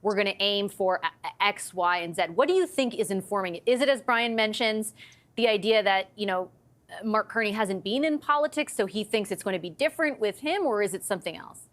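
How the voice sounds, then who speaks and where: A woman's voice: medium pitch, fairly quick, fairly bright tone. A woman hosting a political panel, speaking conversationally as she moderates the discussion. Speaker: host.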